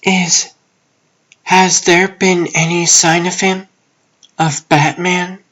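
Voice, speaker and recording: A man voicing a guilt-ridden but determined woman in a comic dub, soft and earnest. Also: high voice, little pitch movement, takes it slowly, exaggerated voice. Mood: guilty, worried. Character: guilt-ridden female antiheroine, anxious yet determined.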